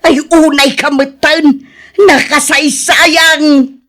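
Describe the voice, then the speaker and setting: A woman's voice: high voice, fairly even intonation, very slow, a bit bright, exaggerated voice. A woman with an Ilocano accent playing an exasperated, pleading village wife in a radio drama. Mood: distraught.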